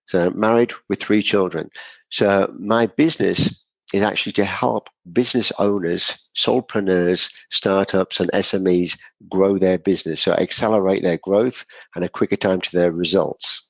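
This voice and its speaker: A middle-aged man from Scotland speaks in a very low, gravelly voice, answering interview questions at length. He strings thoughts together with "so" and "kind of", restarting now and then. The sound is dry and a little muffled. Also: fairly even intonation, normal speed, dry recording. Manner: explains at length with frequent "so" and "kind of", some restarts. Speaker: guest, business growth consultant.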